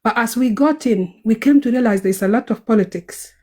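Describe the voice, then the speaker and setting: Hurried South Sudanese woman. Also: on the high side, mellow. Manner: hurried, earnest speaking at work. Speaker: online group member. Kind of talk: casual group audio chat.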